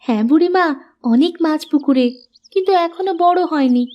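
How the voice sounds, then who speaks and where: A woman's voice: sits high, normal speed, highs cut off, close to an everyday voice. A woman voicing a kind, earnest young village housewife in a cartoon story. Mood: caring. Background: music.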